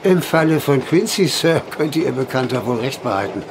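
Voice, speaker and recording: An actor playing a courteous, dry-humored train conductor, formal and deferential, in a German audio drama. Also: male voice, lively intonation, moderate pace. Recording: clear train rumble behind voice.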